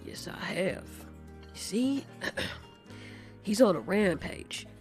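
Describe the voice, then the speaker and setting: A man voicing a calm, confiding male monster who runs a restaurant, casual and measured, in an amateur audio story. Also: sits high, soft background music, heavily acted. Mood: resigned.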